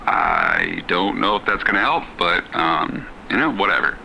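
An actor playing a jaded, awkward working man who haltingly records a personal audio journal in a horror game. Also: deep voice, huge pitch swings, vocal fry, pushed and bright, a little hiss or hum, full character voice, poor audio. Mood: awkward, reluctant. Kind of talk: improvised-sounding audio diary in horror game.

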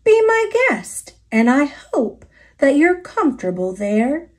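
Woman as a gentle, earnest male moose. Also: high-pitched, very animated, slow, exaggerated voice. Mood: welcoming. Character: big-hearted, gentle, obliging male moose.

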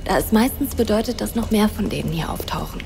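A woman playing a resourceful young woman who talks quietly and often whispers to stay hidden. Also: medium pitch, lively intonation, quick pace, creaky, slight background noise. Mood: hushed. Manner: hushed, practical, often whispering.

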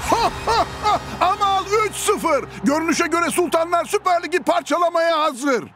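An actor playing an excitable football commentator in a cartoon dub, theatrical and exclamatory. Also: male voice, very high-pitched, moderate pace, music in the background. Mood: gleeful.

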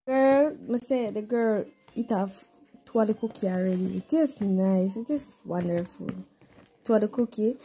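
A woman's voice: medium pitch, mellow. A woman with a Jamaican accent chatting informally as she vlogs about cooking at home, slipping into Patois. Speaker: home vlogger. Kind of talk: casual cooking vlog chat. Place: home kitchen, indoors. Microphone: handheld phone behind the camera. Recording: noisy handheld home recording with clicks.

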